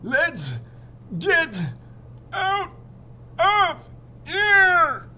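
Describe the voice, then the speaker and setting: A man's voice: extremely high pitch, sing-song, extremely slow, background noise, pitched above the performer's own voice, exaggerated voice. A man voicing a panicked, strained burglar choking on a stench while reading a children's storybook aloud. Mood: panicked, urgent.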